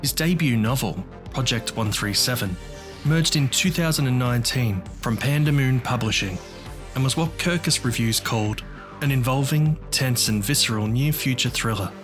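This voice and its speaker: A man's voice: medium pitch, animated, normal speed, music in the background. A man reading a scripted announcement as a podcast announcer.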